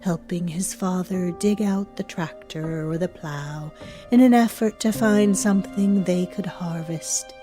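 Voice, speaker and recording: A woman reading a scripted horror story as narrator, in a measured, ominous way. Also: fairly low pitch, dark tone, faint music behind.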